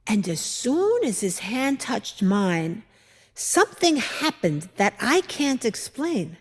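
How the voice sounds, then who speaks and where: A woman playing an earnest biblical widow, a mother-in-law telling her story as a measured, expressive dramatic monologue with deliberate pauses. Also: fairly high pitch, husky, exaggerated voice. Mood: reflective. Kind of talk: dramatic monologue reading in church. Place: large church, indoors. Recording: echoey church hall, long reverb.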